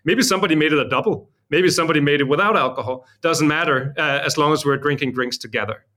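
A man's voice: on the high side, fast talker, bright, forceful tone. Conversational man from Denmark, explaining informally. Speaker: interview guest, company founder. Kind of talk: conversational interview.

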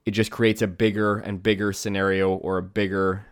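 A man with a low, slightly nasal voice, a bit stuffy from a cold, talking through a solo podcast episode. He rambles loosely, with plenty of "uh" and "you know" and restarts. The sound is dry and close, with no room in it. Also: flat delivery, moderate pace, clear, resonant tone, no echo. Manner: rambling, many fillers and restarts. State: congested, under the weather. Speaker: podcast host. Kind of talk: casual solo podcast talk.

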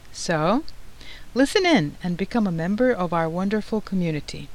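A middle-aged woman from Turkey hosting a professional society's podcast, reading a prepared script in a measured, formal way. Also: mid-range voice. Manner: measured, formal, read-aloud delivery. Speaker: podcast host, society president.

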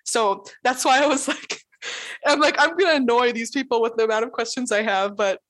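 A woman chatting casually in an interview, talking in quick bursts full of "like" and laughing often. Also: fairly high pitch, a bit bright. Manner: chatty, fills speech with "like". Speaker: interviewee, new employee. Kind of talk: casual conversation.

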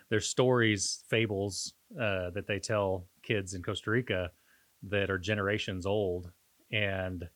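A man co-hosting a podcast in casual, reflective conversation, pausing and searching for his words as he talks. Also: deep voice, fairly bright tone. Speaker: podcast co-host.

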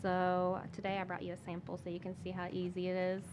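A woman's voice: on the low side, monotone, fast talker, a little mellow, a little hiss or hum. A young woman speaking casually and personally as a guest in an interview.

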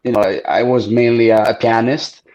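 A man's voice: mid-range voice, flat delivery, a little fast, a little mellow, low-quality recording. A man from Italy, a native Italian speaker, talking as a guest musician in an interview, hesitant and full of fillers as he searches for words. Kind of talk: casual interview.

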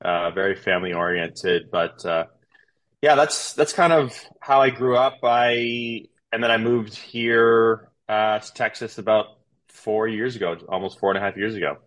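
A man in his thirties with a lowish voice talks through his life story as a podcast guest. He rambles and restarts often, filling pauses with "um" and "you know.". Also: fairly bright tone, low-quality recording. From Canada. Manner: rambling, with frequent fillers and restarts. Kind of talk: casual interview storytelling.

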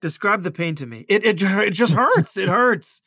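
A man's voice: sits high, expressive, normal speed, sharp tone, dry recording. A man speaking as an ear, nose and throat doctor, talking casually about patient cases and often repeating words as he thinks aloud. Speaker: ENT physician.

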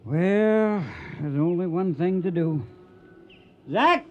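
An actor playing a gruff, hot-tempered trail boss in a radio western, with a folksy American Western drawl and an emphatic, commanding way of talking. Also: male voice, high voice, slow pace, highs cut off, a little hiss or hum. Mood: brisk. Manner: folksy, emphatic, barking orders.

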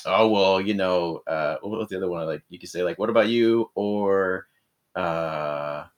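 A man from northern Mexico, appearing as a guest in a casual podcast conversation. His voice sits fairly low, and he talks loosely with many filler words and easy chuckles. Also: unhurried. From Rosarito, northern Mexico. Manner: relaxed, lots of fillers, chuckles. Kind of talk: casual chat.

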